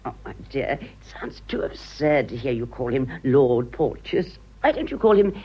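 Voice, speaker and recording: A woman's voice: a bass voice, very animated, normal speed, breathy edge, full character voice. An actress playing a vain, gushing, aging society mother with an upper-class English accent in a radio comedy. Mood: confiding. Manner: effusive, drawling, girlishly theatrical. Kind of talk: stage comedy radio acting. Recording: dull, muffled old radio drama.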